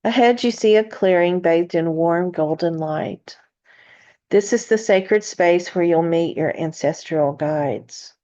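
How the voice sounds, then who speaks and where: Female meditation guide: soft-toned and mellow, slightly low, soothing and deliberate delivery, muffled lo-fi sound.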